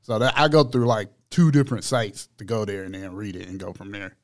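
A man's voice: fairly low pitch, fairly even intonation, a little fast. A man hosting a podcast, talking loosely in slang and often repeating himself. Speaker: podcast host. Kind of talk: casual chat.

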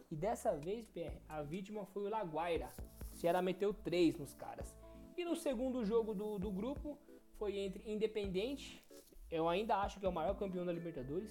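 Young Brazilian guy, sports podcast co-host, soft mellow timbre, casual recap delivery. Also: high-pitched. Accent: Brazilian Portuguese. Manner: rundown of results, stumbling over foreign names.

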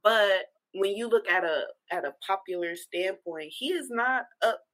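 A woman with a sharp, bright voice talks casually among podcast panelists. She is emphatic and slangy, in a mid-range pitch. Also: animated, a little slow, rough recording. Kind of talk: casual group podcast chat.

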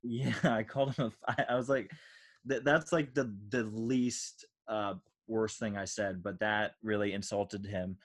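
A man's voice: on the low side, fairly even intonation, measured pace. An American man, a stand-up comedian, telling anecdotes casually in a rambling, self-deprecating way. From United States.

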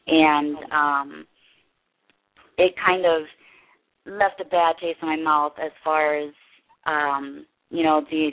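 A woman guest in an interview, speaking earnestly and haltingly with many restarts. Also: low voice. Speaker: interview guest, production company head. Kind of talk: candid personal interview. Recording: tinny phone line.

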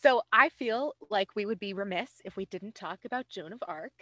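A woman's voice: medium pitch, moderate pace, over music. A woman hosting a podcast, telling history in a casual, informal way with wry, self-deprecating asides. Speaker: podcast host.

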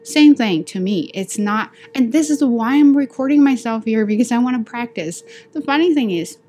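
A woman's voice: fairly high pitch, moderate pace, slight background noise. Chatty woman with a Chinese accent. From China. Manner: chatty, animated, acts out dialogue. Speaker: podcast host. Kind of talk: casual solo storytelling.

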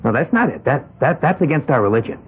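A man's voice: mid-range voice, fast talker, slightly rough. An actor playing a timid young man accused of murder, speaking meekly and hesitantly in a 1970s radio drama. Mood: earnest. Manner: meek, hesitant, oddly calm about his fate. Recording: phone-like lo-fi old radio broadcast.

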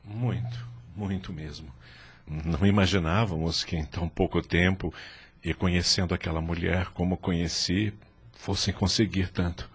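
An actor playing a remorseful, earnest husband seeking redemption in a radio drama, with a gravelly, husky voice. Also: male voice, low voice, fairly even intonation, moderate pace. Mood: humbled amazement. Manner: solemn, earnest.

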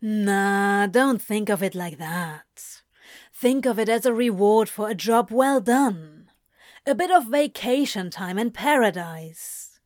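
A woman's voice: medium pitch, slow pace, darkish tone, dry recording, studio quality, close to an everyday voice. A woman voicing a casual, teasing male demon who coaxes and tempts, in a story reading. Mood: laid-back.

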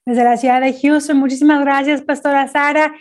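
A woman hosts a ministry podcast, addressing her guest formally and warmly by title. Her voice sits high, and she sometimes trips and repeats words while building a question. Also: level tone, fairly quick. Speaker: podcast host, ministry coordinator. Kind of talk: podcast interview.

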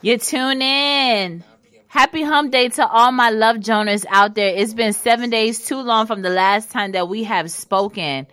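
A woman's voice: fairly high pitch, a little slow, bright, forceful tone. A woman in her thirties hosting a casual internet radio talk show, playful and chatty, speaking into a studio microphone. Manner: playful, hypes listeners, restarts and fillers.

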